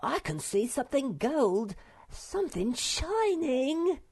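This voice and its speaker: Storybook part: squeaky little boy creature done by a woman, very high, swooping, eager and jumpy. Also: very animated, slow pace, a little mellow, slightly rough, full character voice. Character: small, excitable, nervy young male creature, greedy for treasure.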